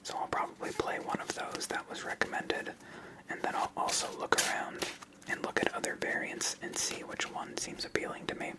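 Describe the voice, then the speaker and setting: A person whispering ASMR-style commentary as the host of a card game channel, in a soft, unvoiced manner. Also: normal speed, low-quality recording.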